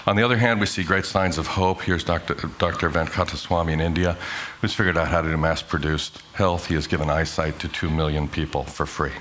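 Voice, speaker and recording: A middle-aged man, an architect, giving a prepared talk with emphatic, measured delivery and rhetorical questions. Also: a bass voice, steady tone, a bit bright, a little hiss or hum. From grew up in Hong Kong. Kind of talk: prepared conference talk played in class.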